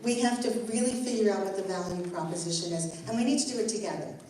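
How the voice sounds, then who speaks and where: A woman's voice: medium pitch, fast talker, a little mellow. An older woman rabbi leading a Torah study, earnest and encouraging as she teaches the group and answers questions. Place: synagogue hall. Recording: echoey room, mic far off, faint noise.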